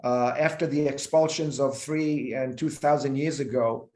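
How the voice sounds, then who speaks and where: A man in his fifties with an Israeli accent, a guest speaking from home on a video call, halting with many fillers and restarts. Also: medium pitch, steady tone, a little mellow, roomy sound. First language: Hebrew. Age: 50s. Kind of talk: remote interview talk.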